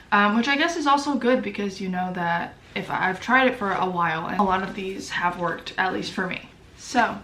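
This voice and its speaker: A woman's voice: medium pitch, a bit bright, a little hiss or hum. A young woman with a stuffy, sniffly voice chatting casually to camera as a vlogger, full of fillers and self-deprecating asides. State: congested, sniffly. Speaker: lifestyle vlogger. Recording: echoey room, voice a bit far from mic.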